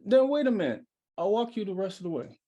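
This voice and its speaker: Man reading as animated young smooth-talker. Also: high-pitched, fast talker, dull audio. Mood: persuasive. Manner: cajoling, slangy. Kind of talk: live screenplay table read.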